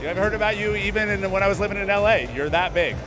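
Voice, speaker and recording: A man with a fairly high voice chats as a guest at an outdoor event, upbeat and emphatic, driving his points home by saying them twice. Loud music plays behind him. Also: quick pace. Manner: upbeat, emphatic, repeats himself. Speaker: interview guest. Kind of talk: casual event interview. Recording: music playing loudly behind.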